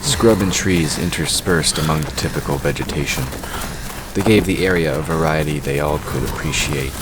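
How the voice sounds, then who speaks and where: Super deep guy narrating a fantasy audio drama, noticeable ambient noise behind him. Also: moderate pace. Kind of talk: scripted fantasy story narration.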